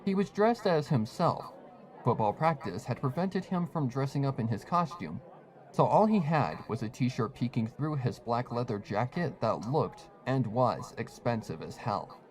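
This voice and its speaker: A man's voice: medium pitch, lively intonation, measured pace, some chatter behind. A man reading a fan fiction story aloud as a dramatized scripted narration. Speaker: story reader.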